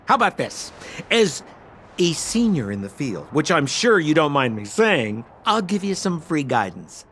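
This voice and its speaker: A man's voice: fairly high pitch, sing-song, unhurried, a bit bright, a little hiss or hum. A man playing a sly, theatrical, ingratiating treasure-hunting con man in a video game. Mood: glib, persuasive.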